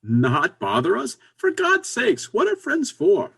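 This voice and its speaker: A man's voice: sits high, huge pitch swings, slow pace, vocal fry, fairly bright tone, slightly rough, heavily acted. A man playing a concerned, busy businessman friend in a stage comedy, heard away from the microphone. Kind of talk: stage comedy readers theater acting.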